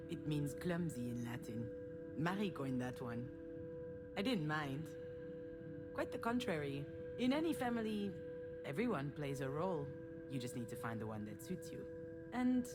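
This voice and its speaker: Visual novel lead: a rueful, self-effacing grown daughter, low-pitched, reflective confessional storytelling, prominent music bed. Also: female voice. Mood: wry, self-deprecating. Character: self-deprecating, wistful adult daughter recalling family troubles. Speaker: narrator and protagonist of a visual novel. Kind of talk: confessional first-person scripted narration. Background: loud music.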